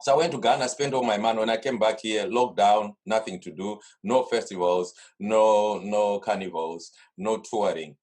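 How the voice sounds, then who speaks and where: A man's voice: fairly low pitch, moderate pace, few pauses, a little mellow. A middle-aged man from Zimbabwe with a Zimbabwean accent, talking casually and at length in an interview over a video call. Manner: rambling storytelling, many restarts. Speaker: carnival organiser, guest. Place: home studio desk.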